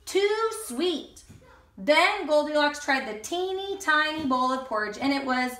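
A young woman reading a picture book aloud expressively, the way one does for small children. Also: high-pitched. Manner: expressive, slow and deliberate for children. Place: indoors in a room at home. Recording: echoey room sound.

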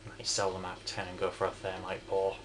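A man's voice: sits low, completely flat delivery, a little fast, noisy background. A man giving casual, sweary gameplay commentary in short asides as he plays. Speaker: gamer. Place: room with game playing on a screen. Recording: loud game music over voice. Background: loud game music and sounds.

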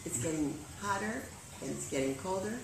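An older woman telling cruising stories in a lively way, with easy laughs. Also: lowish voice, normal speed. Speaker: cruising rally organizer. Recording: echoey, distant, noisy veranda.

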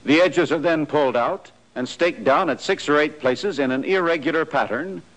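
A man giving scripted, measured instructional narration for a 1940s army training film. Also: fairly high pitch, a bit bright, low-quality recording.

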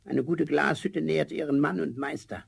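Raspy little old forest spirit. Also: male voice, a little high, steady tone, moderate pace, heavily acted. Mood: exasperated scolding. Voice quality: thin, delicate, raspy. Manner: chuckling, chiding, grandfatherly. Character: kindly, scolding little old male forest glass spirit. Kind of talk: vintage German fairy-tale radio play acting.

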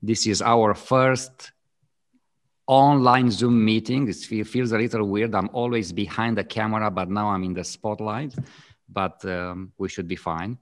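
A man in his thirties with a strong Romanian accent, co-hosting a casual video call chat, talking hesitantly with many pauses. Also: lowish voice, fairly even intonation. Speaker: co-host, photographer. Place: home studio with framed art on walls. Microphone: studio mic on boom arm.

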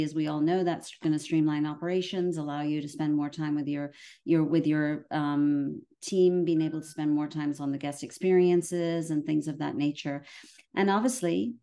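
Irish woman, low mellow voice, casual webinar presenter, halting with many ums. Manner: hesitant, many fillers and restarts. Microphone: video call.

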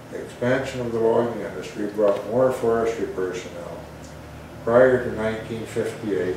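Echoey, low-voiced older man reading aloud. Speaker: reader of local history. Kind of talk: reading aloud from a history book. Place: small wood-panelled room, indoors. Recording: roomy, distant mic, noticeable background noise.

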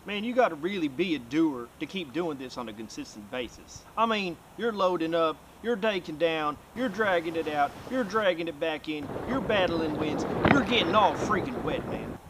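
A man's voice: on the high side, normal speed, few pauses, noisy background. A man, talking casually and energetically to the camera outdoors by a bay. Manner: energetic, loose, swears casually. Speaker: vlog host.

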